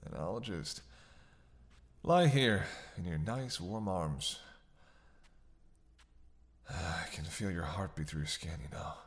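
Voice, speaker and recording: Male actor as captive male vampire for audio roleplay: starving, sardonic, teasing menace, very slow halting delivery, lowish pitch. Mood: sardonic, helpless, then hungry, yearning. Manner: sardonic, halting, breathy sighs between phrases. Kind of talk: roleplay audio drama monologue.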